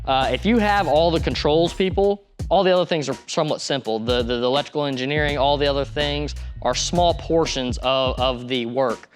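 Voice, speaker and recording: A man's voice: a little high, a little fast, hardly any pauses, faint music behind. A man hosting a podcast in loose, rambling casual chat, full of restarts. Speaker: podcast host.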